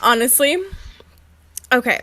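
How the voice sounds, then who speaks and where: A woman's voice: fairly high pitch, steady tone, unhurried, very noisy. A young woman co-hosting a podcast in casual comedic chat, giggly and prone to laughing as she talks or reads aloud. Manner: giggly, breaks into laughter while talking. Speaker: podcast co-host.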